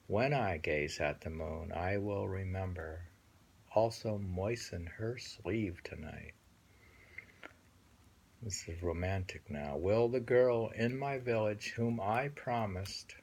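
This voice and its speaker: A man with a low, husky voice reads poetry and chats about it. His delivery is very unhurried and musing, with halts and repeated phrases. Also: darkish tone. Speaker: host reading and discussing poems. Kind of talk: poetry reading with casual commentary.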